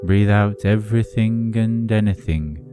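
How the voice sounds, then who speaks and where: A man narrating a hypnotic guided meditation in a soothing, deliberate manner with measured phrasing. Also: on the low side. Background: music under the voice.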